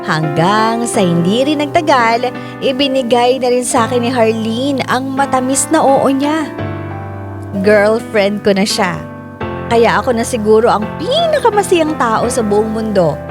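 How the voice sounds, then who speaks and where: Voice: medium pitch, expressive, unhurried, over music, lightly acted. A narrator giving a scripted first-person account in a Filipino radio drama.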